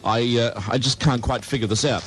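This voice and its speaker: A man's voice: mid-range voice, fairly even intonation, brisk, fairly bright tone, slight background noise. A man with an Australian accent hosting a breakfast radio show in casual, teasing, mock-grumbling banter. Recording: radio aircheck with music. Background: music.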